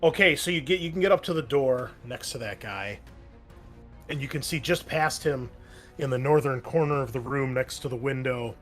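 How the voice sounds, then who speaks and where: Male game master, mid-pitched, casual vivid combat narration, faint music underneath. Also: normal speed, a bit bright.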